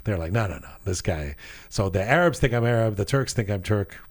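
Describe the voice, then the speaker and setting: A man hosting a casual studio chat, telling travel stories in a rambling, animated way with many restarts. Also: on the low side, fairly quick. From Iranian heritage. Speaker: podcast host.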